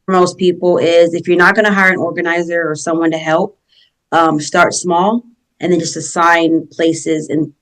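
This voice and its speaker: A woman's voice: lowish voice, creaky. A woman who is a professional organizer, giving earnest, encouraging advice in a casual podcast chat and repeating her points.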